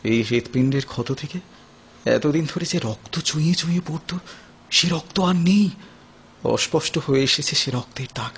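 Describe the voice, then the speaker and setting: A man acts out a tender, wounded lover in a radio-style Bengali play. His voice is fairly high for a man and rough with huskiness. He speaks dramatically, with weighted pauses and a poetic lilt. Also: a bit bright, full character voice. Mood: glad, tender. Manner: dramatic, pausing, poetic delivery. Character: emotional man recovering from drug addiction, tender lover. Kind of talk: Bengali audio play acting.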